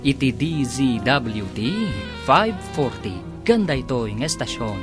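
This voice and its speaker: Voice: mid-range voice, expressive, unhurried. An announcer with an Ilocano accent narrating a radio drama serial in a dramatic style. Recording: music bed behind the voice.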